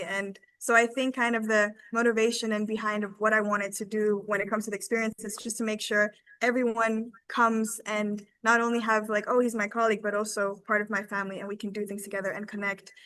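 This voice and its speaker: A woman's voice: medium pitch, flat delivery, a little fast, a bit bright, dry recording, poor audio. A woman in her twenties giving an informal, earnest and enthusiastic testimonial as a team member on a webinar. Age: 20s.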